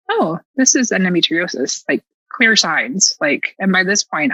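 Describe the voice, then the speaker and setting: An American woman tells a personal story in a lowish, forceful voice. She is candid and sarcastic, slipping into quoted dialogue as she goes. The sound is close and dry but slightly dull. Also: dry recording. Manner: candid, sarcastic, self-mocking, acting out dialogue. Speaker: podcast guest.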